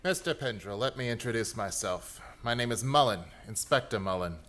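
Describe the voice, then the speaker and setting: Man playing a forceful, sharp-voiced police inspector. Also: medium pitch, lively intonation, faint music behind, natural voice. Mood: grave. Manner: measured, authoritative. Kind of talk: radio play crime acting.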